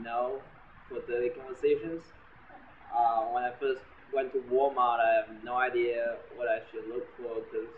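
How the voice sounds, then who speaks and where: A man giving a halting, measured interview answer. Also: medium pitch. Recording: phone-like sound with faint noise.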